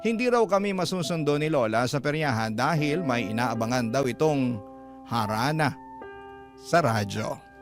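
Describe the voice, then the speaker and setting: A man's voice: mid-range voice, animated, normal speed. Male radio storyteller over music. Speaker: radio host and story narrator. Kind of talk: dramatic first-person story narration. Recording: background music bed.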